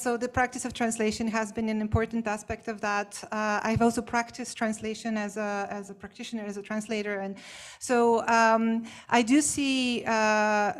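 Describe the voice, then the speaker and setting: A woman whose first language is Bulgarian, answering a question as a symposium panelist in a hesitant, explanatory way with many fillers. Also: on the high side. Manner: explanatory and academic.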